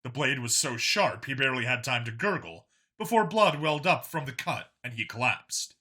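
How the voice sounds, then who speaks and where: A man's voice: mid-range voice, big pitch swings, normal speed, bright, forceful tone, slightly rough. A man narrating a scripted science fiction story as an audiobook reader.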